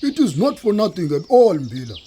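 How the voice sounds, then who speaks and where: An actor playing a proud, blustering lion in a comic audio play for children, quick to defend himself and roar. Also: male voice, high voice, sing-song, dark tone, slight background noise. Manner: blustering, defensive, breaks into roars. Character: Ngonyama, proud male lion hiding his love of ballet. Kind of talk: musical comedy audio theatre for children.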